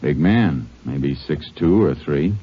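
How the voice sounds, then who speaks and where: Deep-voiced laconic Texas Ranger. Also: male voice, expressive, husky, heavily acted. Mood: calm, matter-of-fact. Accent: American. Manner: laconic, plainspoken, deliberate. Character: steady, methodical male Texas Ranger investigator in a western. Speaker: lead actor in a radio drama. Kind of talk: 1950s radio drama acting with first-person narration. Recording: muffled vintage radio recording with music.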